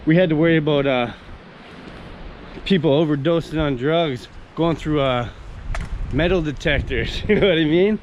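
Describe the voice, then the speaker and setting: A man's voice: fairly high pitch, dull audio, slight background noise. A young man from Canada chatting casually on camera as he walks outdoors, rambling and enthusiastic with many fillers and restarts. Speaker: vlogger. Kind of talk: casual walk-and-talk vlog. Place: outdoors on a coastal town street.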